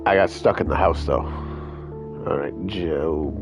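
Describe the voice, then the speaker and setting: A man's voice: a bass voice, unhurried. A man live-streaming a game with a stuffy nose, talking casually and grumbling with the odd swear. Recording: dull lo-fi stream audio. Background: game music.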